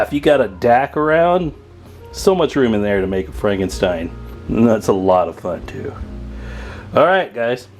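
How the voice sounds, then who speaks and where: A man with a medium-pitched voice hosts a casual hands-on demonstration. He talks in an informal, folksy, slangy way, as if chatting with fellow hobbyists. Faint music plays behind him. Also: a little mellow. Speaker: host of a CB radio repair video.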